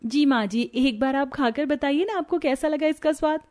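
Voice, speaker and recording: A woman's voice: high-pitched, moderate pace, a little mellow, voice pushed up, natural voice. A woman voicing a dutiful, weary young daughter-in-law in an audio story, polite and plaintive. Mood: eager, polite. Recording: music behind the voice.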